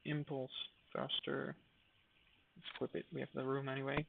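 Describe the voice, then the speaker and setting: A man's voice: medium pitch, level tone, normal speed, creaky, background noise. A man giving casual live commentary while streaming a game, thinking aloud with hesitant fillers. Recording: dry, phone-like narrow sound.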